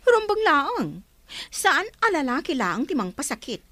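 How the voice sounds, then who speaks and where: A woman's voice: sits high, sing-song, measured pace, bright, forceful tone, slight background noise, heavily acted. A woman acting a scheming, money-minded friend with an Ilocano accent in a radio drama. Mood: scheming urging.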